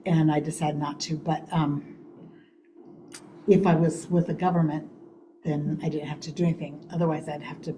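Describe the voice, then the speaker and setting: A woman giving a loud, chatty update on senior events at a city committee meeting. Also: deep voice, little pitch movement, normal speed, long pauses, echoey room, a little hiss or hum, low-quality recording. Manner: loud, chatty, laughs often. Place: city hall meeting room.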